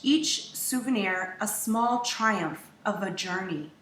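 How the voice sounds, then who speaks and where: A woman reading a children's storybook aloud expressively, with measured phrasing and dramatic pauses. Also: medium pitch, lively intonation, a bit bright. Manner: measured, dramatic pauses, acts out quoted lines. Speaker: teacher reading a picture book aloud. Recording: roomy echo, distant from mic.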